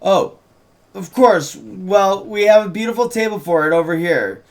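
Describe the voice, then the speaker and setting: Man playing gushing shopkeeper Cass, higher-pitched. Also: animated, normal speed. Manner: effusive, salesy. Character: Cass, gushing small-town shopkeeper and fortune teller.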